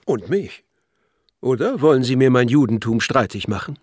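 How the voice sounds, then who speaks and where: An actor playing an aggrieved, self-important festival impresario in a declamatory radio drama manner. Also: male voice, medium pitch, animated, moderate pace, a little mellow, slightly rough, no echo, studio quality. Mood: indignant, proud.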